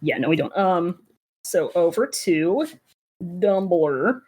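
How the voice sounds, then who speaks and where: A woman's voice: fairly low pitch, lively intonation, takes it slowly, a little mellow, breathy edge. A woman hosting a casual fan podcast, wry and informal, with some swearing. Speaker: podcast host.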